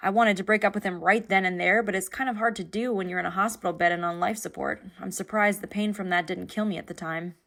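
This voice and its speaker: Anxious young animated rideshare passenger, played by a woman: mid register, creaky fry, candid, rambling confessional delivery. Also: mid-range voice, quick pace, few pauses. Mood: candid, rueful. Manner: rambling, self-deprecating, candid. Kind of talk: naturalistic confessional conversation.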